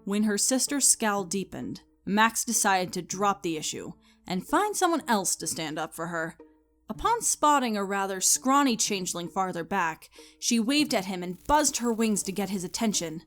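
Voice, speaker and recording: A woman's voice: mid-range voice, sing-song, normal speed, a bit bright, faint music behind. A woman reading scripted story narration in a dramatic, storytelling style.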